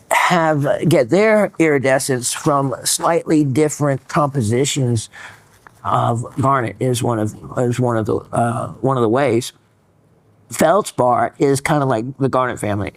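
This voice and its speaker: Male host, mid pitch, chatty, rambling, dry close sound. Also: slow pace, no room sound. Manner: rambling, many fillers and restarts. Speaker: host reading viewer mail. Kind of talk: casual educational chat.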